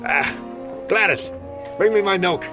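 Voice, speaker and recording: An actor playing a cantankerous older newspaper editor, blustery and grumbling. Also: male voice, sits high, measured pace, music in the background. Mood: exasperated.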